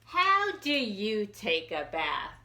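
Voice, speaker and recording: A woman children's librarian reading a picture book aloud for young children in an expressive, sing-song questioning way. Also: medium pitch, slow pace, echoey room. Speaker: children's librarian hosting storytime.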